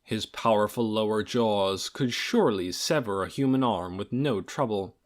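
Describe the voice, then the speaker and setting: A man reading a fantasy audiobook in a dramatic, measured storytelling manner, with deliberate pauses between phrases. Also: fairly low pitch. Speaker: audiobook reader. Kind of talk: scripted fantasy novel narration.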